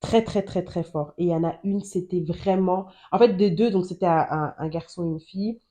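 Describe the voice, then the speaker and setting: Earnest woman sharing faith, mellow low voice. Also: normal speed. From Germany. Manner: earnest, repeats phrases for emphasis. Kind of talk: personal testimony and Bible teaching.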